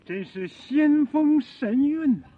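An actor playing an effusive, teasing gentleman scholar who speaks in florid classical phrases in a Chinese period radio drama. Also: extremely high pitch, lively intonation, takes it slowly, exaggerated voice. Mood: admiring, playful. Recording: phone-like lo-fi radio recording.

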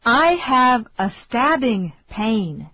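A woman reading English phrases slowly and deliberately for learners to repeat. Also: on the high side, very animated, slightly rough, no room sound. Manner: slow, deliberate, carefully enunciated. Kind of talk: slow repeat-after-me drill. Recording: dry, tinny phone-like sound.

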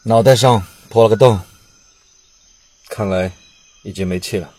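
A man's voice: fairly low pitch, unhurried, a little mellow, husky. A man playing a tense, alert scout soldier in a radio drama, speaking in urgent, clipped military phrases. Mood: shocked. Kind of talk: Chinese radio drama acting.

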